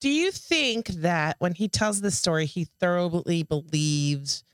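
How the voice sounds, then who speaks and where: Deep-voiced woman podcast co-host. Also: animated, unhurried, clear, resonant tone, no room sound. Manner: dry, teasing remarks. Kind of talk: casual chat.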